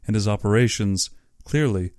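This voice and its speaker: A man's voice: lowish voice, normal speed, darkish tone, clear, resonant tone, no room sound, clean studio sound. A man narrating an audiobook story in a dramatic, scripted reading style.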